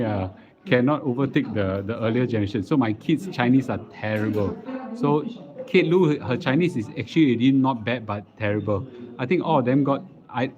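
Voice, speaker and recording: A middle-aged man with a Singaporean accent chatting casually as a livestream host, halting and repeating words as he goes. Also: medium pitch, a little mellow. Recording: dull lo-fi live stream audio.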